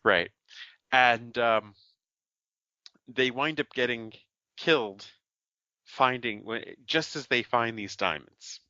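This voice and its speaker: A man's voice: medium pitch, slow, long pauses, sharp tone, clean tone, no echo, dull audio. A man co-hosting a podcast, summarizing a film plot in casual chat, with many fillers and restarts. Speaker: podcast co-host.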